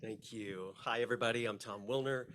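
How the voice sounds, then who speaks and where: A man giving an earnest, calm self-introduction to a group as a musician and new member. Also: medium pitch, brisk.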